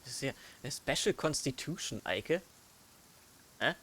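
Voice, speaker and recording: A man's voice: medium pitch, lively intonation, measured pace, a bit bright, slight background noise. A man who is a native German speaker, giving casual, hesitant gaming commentary as a let's play host. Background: game music.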